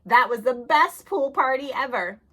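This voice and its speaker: A woman's voice: high voice, expressive, moderate pace, sharp tone, voice pushed up, exaggerated voice. A woman voicing a cheerful schoolgirl in a read-aloud picture book. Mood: excited.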